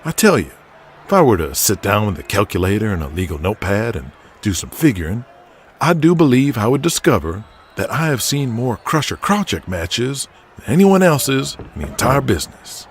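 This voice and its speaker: A man's voice: fairly low pitch, sing-song, normal speed, slightly rough, pitched below the performer's own voice. A man voicing a cocky, taunting pretty-boy wrestler in an audio drama, with florid, wordy, mocking delivery. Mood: flattering.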